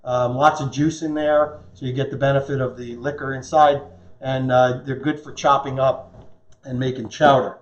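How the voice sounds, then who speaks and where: A man's voice: medium pitch, little pitch movement, moderate pace. A man in his fifties hosting a live cooking demonstration in a casual, conversational, joking way. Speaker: chef and cooking show host. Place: home kitchen, indoors. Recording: echoey kitchen, dull muffled sound.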